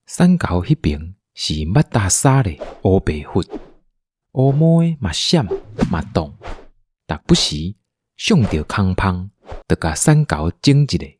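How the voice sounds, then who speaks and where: A man with a Taiwanese accent narrating a scripted radio drama in an animated, dramatic storytelling manner. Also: deep voice, unhurried, a little hiss or hum.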